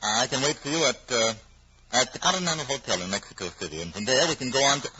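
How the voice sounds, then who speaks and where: An actor playing a domineering, bitter, menacing husband in clipped, sardonic old radio mystery style. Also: male voice, mid-range voice, lively intonation, fast talker, sharp tone, highs cut off. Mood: resigned. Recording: noisy old radio drama recording.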